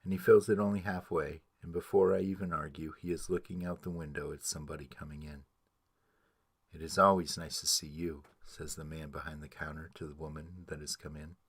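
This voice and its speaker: A man with a low, husky voice reads narrative verse as a dramatic piece. His delivery is rhythmic and story-like, recorded close and dry. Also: flat delivery, brisk, no room sound.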